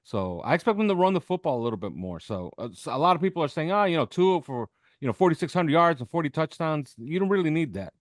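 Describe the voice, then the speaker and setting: A man's voice: fairly high pitch, sing-song, fast talker, few pauses, no room sound, studio quality. A man speaking off the cuff as a football analyst on a podcast, making emphatic points and checking in with short questions. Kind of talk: unscripted sports analysis.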